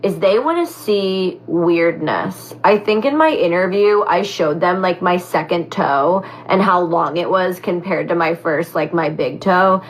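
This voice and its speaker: A raspy young woman answering fan questions in a casual, animated chat, full of "like" and "um". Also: lowish voice, unhurried, hardly any pauses, low-quality recording. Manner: animated, chatty, heavy on fillers like "like" and "um". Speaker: former reality show contestant.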